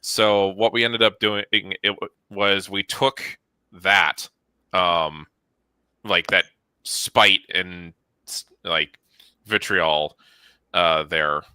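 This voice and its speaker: A man's voice: sits low, fairly even intonation, extremely slow, pauses often, bright, forceful tone, smooth, dry recording. A middle-aged man explaining his work as an interview guest, informal and enthusiastic. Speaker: interview guest, studio manager. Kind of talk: casual explanatory chat.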